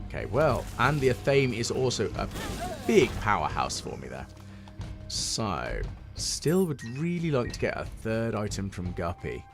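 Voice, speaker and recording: A British man with a deep, resonant and slightly hoarse voice, giving wry, self-deprecating commentary while playing a game. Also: wildly swooping pitch, a little slow. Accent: British English. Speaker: gameplay commentator. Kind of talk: casual let's-play commentary. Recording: game music playing under the voice. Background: game music.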